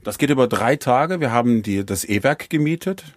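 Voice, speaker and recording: A man's voice: medium pitch, animated, fairly quick, no echo. A man organizing an event, talking eagerly in long run-on sentences during an interview. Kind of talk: interview conversation. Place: seated at a small table with four people.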